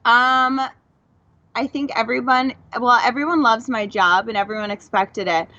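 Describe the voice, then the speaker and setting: A woman's voice: a little high, steady tone, moderate pace, bright, forceful tone, no echo. Effusive woman guest on muffled audio. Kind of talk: casual live chat.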